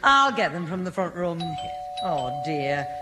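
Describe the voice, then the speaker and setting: A woman playing a brisk, polite middle-aged English mother in a 1970 radio sitcom, keeping her son in check. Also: lowish voice, sing-song, bright, forceful tone, soft background music, heavily acted. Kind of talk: 1970 radio sitcom acting before an audience. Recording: noisy old radio studio recording.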